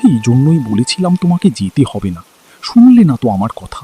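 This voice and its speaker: A man's voice: mid-range voice, wildly swooping pitch, a little fast, mellow, slightly rough. A man voicing a poor, weary village husband in a cartoon, with a fretful manner. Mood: reproachful. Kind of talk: cartoon character dialogue.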